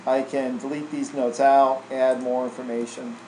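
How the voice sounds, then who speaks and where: A man walking through software in an informal, casual tutorial style, like a trainer showing someone the steps. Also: medium pitch, little pitch movement, normal speed. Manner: casual, informal, tags statements with "right?". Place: indoor room, screen recording voice-over. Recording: roomy echo, faint air-conditioning hum.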